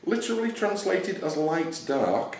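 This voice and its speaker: A man's voice: a little high, big pitch swings, measured pace. A man acting as quizmaster, reading out questions at a live quiz. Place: club function room, indoors. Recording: echoey noisy room, distant mic.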